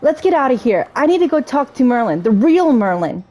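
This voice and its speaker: A woman's voice: high voice, sing-song, brisk, darkish tone, soft background music. A woman giving casual let's-play commentary, thinking aloud as she plays and talking to the game's characters. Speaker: gaming streamer narrating her play.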